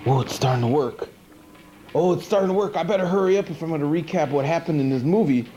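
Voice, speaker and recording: Monster movie host male performer: excitable, sardonic, slangy rambler, highish but soft-edged voice, faintly noisy VHS sound. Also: fast, highs cut off, lightly acted. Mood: rambling, goofy. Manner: casual, rambling, mock-indignant slangy delivery. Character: goofy chatty monster horror-show host, excitable and sardonic. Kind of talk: comic movie recap monologue. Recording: noisy 1980s VHS TV recording.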